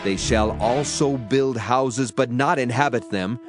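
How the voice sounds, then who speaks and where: Guy doing a dramatic audio bible read, solemn and declamatory, mid pitch, music under it. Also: a little slow. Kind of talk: dramatized scripture reading in archaic English. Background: background music.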